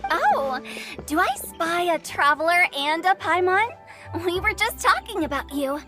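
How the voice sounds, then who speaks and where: A woman's voice: very high voice, expressive, a little slow, over music. A woman voicing a playful, theatrical young girl poet in a video game, with a teasing sing-song delivery. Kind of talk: video game character dialogue.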